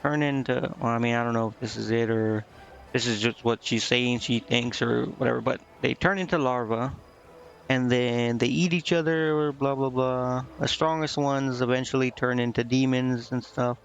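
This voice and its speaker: A man's voice: mid-range voice, fairly even intonation, moderate pace, gravelly. A man doing casual video game commentary, thinking aloud in a rambling way and stumbling as he reads dialogue out. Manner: rambling, thinking aloud, stumbling over words when reading. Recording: dull, lo-fi microphone sound.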